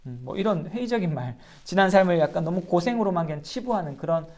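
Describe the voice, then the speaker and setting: A man's voice: high-pitched, expressive, fast talker, a little mellow. A man, a pastor, giving an earnest sermon-style Bible lecture and asking his listeners rhetorical questions. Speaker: pastor teaching a Bible class.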